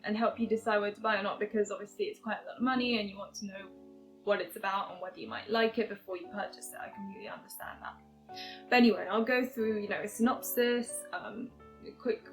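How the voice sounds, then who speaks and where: A woman's voice: medium pitch, rough recording. A young woman with an English accent chatting casually through a game review, hesitant and full of fillers and restarts.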